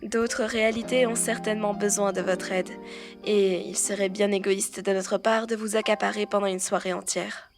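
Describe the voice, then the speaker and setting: A woman's voice: mid-range voice, little pitch movement, moderate pace, music in the background, natural voice. A woman playing a stern, refined head of manor staff in a French roleplay audio drama, speaking in a formal, authoritative, haughty way. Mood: courteous, composed.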